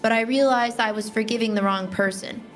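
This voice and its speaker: A woman playing a steadfast, plain-spoken young Titan warrior in a fan audio drama, earnest and measured. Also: a little high, fairly even intonation, soft background music, natural voice. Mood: reflective.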